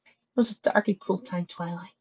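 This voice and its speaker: A woman voicing a gentle, sorrowful young female pony in a storybook reading, speaking softly and earnestly. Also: fairly low pitch, steady tone, lo-fi sound, pitched above the performer's own voice, natural voice. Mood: somber. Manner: soft, earnest, measured.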